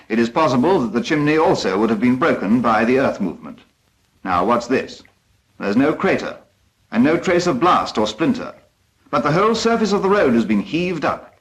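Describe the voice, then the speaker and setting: A man's voice: mid-range voice, rough recording. A British man narrating a 1940s instructional film from a script in a formal, precise, instructive manner.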